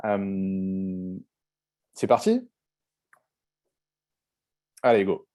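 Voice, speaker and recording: Man, French accent, webinar host, low pitch, mellow tone, hesitant with fillers. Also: very slow. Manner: relaxed, hesitant, with frequent fillers and restarts. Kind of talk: hosting a live panel webinar.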